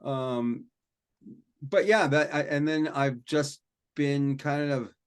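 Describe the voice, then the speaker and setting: A man, a rock and metal singer, telling stories from his life in a relaxed, rambling, self-deprecating way during a podcast interview. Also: a little high, takes it slowly, smooth. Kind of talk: casual interview storytelling.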